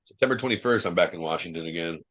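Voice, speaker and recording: A young man from Ohio, a wrestler guesting on a podcast, telling loose, profane travel stories full of fillers, with a loud voice. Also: low-pitched, very fast. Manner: says he has a loud voice. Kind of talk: casual podcast chat.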